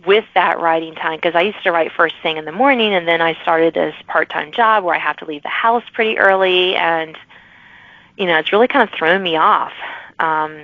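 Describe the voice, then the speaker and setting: A woman's voice: lowish voice, moderate pace, no room sound. A woman hosting a podcast interview, chatting in a rambling way with many restarts. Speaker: podcast host. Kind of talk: casual interview chat. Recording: dry, dull lo-fi audio.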